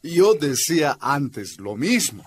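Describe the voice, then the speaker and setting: A relaxed miner husband. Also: male voice, on the high side, slow pace, a little hiss or hum. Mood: easygoing. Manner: relaxed, agreeable. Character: easygoing male miner husband. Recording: music playing underneath.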